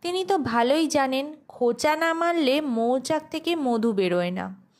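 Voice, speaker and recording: A woman's voice: high-pitched, animated, normal speed. A woman narrating a scripted audio story as the host.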